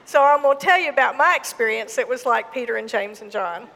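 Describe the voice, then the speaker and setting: A woman pastor from Mississippi with a Southern accent, preaching a sermon and telling a personal story in a slow, emphatic way. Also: a little high, lively intonation, vocal fry, pushed and bright. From Mississippi, USA. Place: church gym. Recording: long hall reverb.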